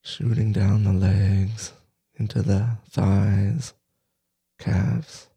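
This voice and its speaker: A man leading a guided meditation, soft-spoken, in short halting phrases with long pauses. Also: lowish voice, level tone, gravelly, husky, no echo. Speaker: meditation teacher.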